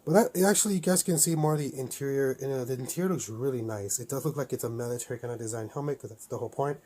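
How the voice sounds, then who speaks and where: Low-pitched man, casual unboxing chat. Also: racing, dark tone, slightly rough. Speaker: toy collector reviewing a helmet.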